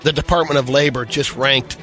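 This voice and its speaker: A man's voice: mid-range voice, fairly even intonation, fairly quick, bright, forceful tone, soft background music. A man co-hosting a radio show, reading out news items amid casual banter.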